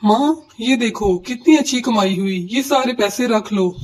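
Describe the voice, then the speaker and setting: Woman as young husband pigeon, earnest, fairly high pitch, Hindi cartoon dialogue. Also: a little slow, darkish tone. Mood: caring.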